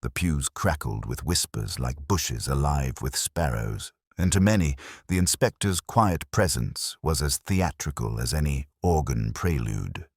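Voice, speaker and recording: A man narrating a literary mystery in a measured, dramatic storytelling manner. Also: extremely low pitch, animated, husky, no echo, clean studio sound.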